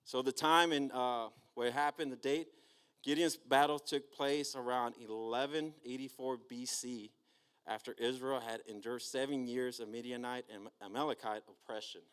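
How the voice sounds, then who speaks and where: A man's voice: mid-range voice, steady tone, normal speed, fairly bright tone, smooth. A man giving an informal talk on a Bible story in church, casual and checking in with his listeners often. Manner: casual, checks in with "right?" and "okay?". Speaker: church speaker.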